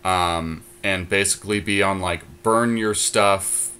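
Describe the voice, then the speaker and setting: A man co-hosting a podcast, casually thinking aloud about building a deck, with many pauses and fillers. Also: low-pitched, steady tone, bright, forceful tone, clear, resonant tone. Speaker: podcast co-host. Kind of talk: casual chat about deckbuilding.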